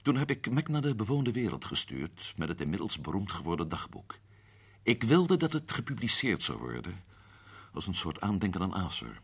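A man's voice: low voice, very animated, normal speed, breathy edge, dry recording, exaggerated voice. An actor playing a grim, guarded male adventurer recounting events in a radio drama. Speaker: narrator and lead character Paul.

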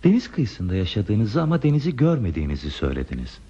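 An actor playing a lonely, gentle hermit in a radio play, speaking in a hesitant, pensive, polite way. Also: male voice, on the low side, huge pitch swings, fast talker, husky, heavily acted. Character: lonely, gentle hermit man living in a remote place. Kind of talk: Turkish radio theater acting. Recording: dull, muffled radio drama recording.

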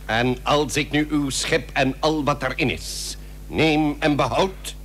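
An actor playing an imperious, threatening Barbary potentate in a period radio drama, with commanding, archaic formal speech. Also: male voice, on the high side, slow pace, fairly bright tone. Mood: haughty, haggling. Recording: noisy old radio recording with hum.

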